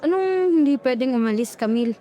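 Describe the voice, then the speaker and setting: A woman's voice: high voice, lively intonation, a little fast, warm tone. A woman voicing a frightened young woman in a horror audio story, talking anxiously and urgently. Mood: fearful.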